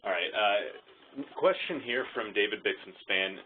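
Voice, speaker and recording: A man's voice: on the low side, expressive, a little slow. A man moderating a press conference call, relaying questions sent in by journalists. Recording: noisy, tinny phone line with clicks.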